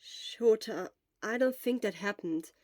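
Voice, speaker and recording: A woman's voice: mid-range voice, slow, darkish tone, no room sound, studio quality, lightly acted. A woman voicing a caring, earnest teenage boy, a loyal friend who talks emphatically and energetically, in an audio story. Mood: gentle, insistent.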